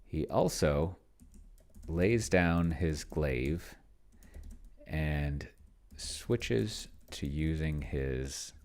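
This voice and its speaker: A man hosting a solo tabletop roleplaying session, thinking aloud casually in a halting, musing way and often trailing off mid-thought. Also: very deep voice, lively intonation, a little mellow.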